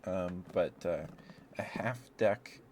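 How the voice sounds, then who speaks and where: A man's voice: low voice, slow, a little mellow, a little hiss or hum. A man livestreaming casual, rambling ASMR chat, talking to viewers in a hesitant, meandering, apologetic way.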